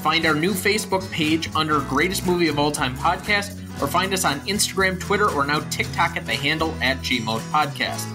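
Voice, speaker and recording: A young man hosting a podcast, talking about films in earnest, explanatory chat and reading out a brisk closing script. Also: a little high, over music. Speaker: podcast host.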